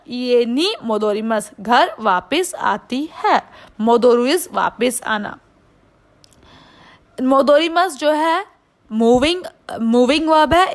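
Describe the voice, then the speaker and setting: A woman language teacher with an Indian accent and a high voice. She takes her time, explaining phrases piece by piece in a didactic way and putting questions to the learners. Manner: didactic, checks understanding with questions. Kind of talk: online language lesson with line-by-line translation and explanation.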